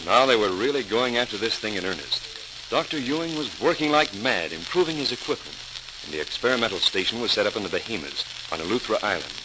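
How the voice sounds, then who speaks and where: A man's voice: lowish voice, expressive, quick pace, natural voice. An actor playing an eager naval man recounting experiments he witnessed, in the style of an old radio docudrama. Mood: earnest, recounting. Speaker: narrator and participant. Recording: noisy, crackling old-time radio recording.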